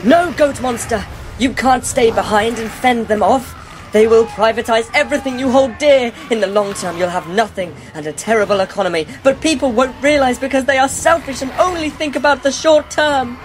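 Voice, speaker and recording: A man's voice: very high-pitched, moderate pace, slight background noise. Man playing solemn, cautious quest hero. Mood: earnest. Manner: grave, formal, mock-epic. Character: solemn, cautious, earnest quest companion trained by monks. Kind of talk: comedic fantasy radio play acting.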